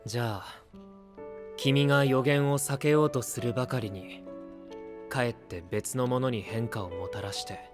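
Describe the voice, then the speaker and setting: A man voicing a calm, earnest young male knight who questions gently, over background music. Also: medium pitch, moderate pace, close to an everyday voice. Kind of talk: anime voice drama acting.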